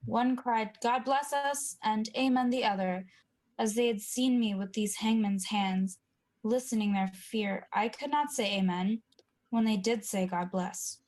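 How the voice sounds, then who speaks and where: A woman's voice: on the high side, a little slow, a little mellow, lightly acted. A woman playing a wavering, guilt-ridden nobleman in a 1940s-style radio play, with earnest, troubled delivery. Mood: haunted.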